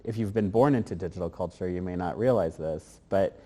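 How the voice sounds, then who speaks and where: A man's voice: low-pitched, a little fast, dark tone, long reverb tail. A man answering interview questions in a thoughtful, rambling way, with many fillers and restarts, recorded in a gallery. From grew up in Germany, Korea and the United States. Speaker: interviewed artist.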